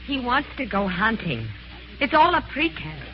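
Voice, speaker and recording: A woman's voice: a little high, big pitch swings, moderate pace, music in the background. A woman playing an emotional, insistent wife in an old-time radio mystery, with urgent, melodramatic delivery. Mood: anxious pleading. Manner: urgent, dramatic. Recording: muffled, faintly noisy vintage radio.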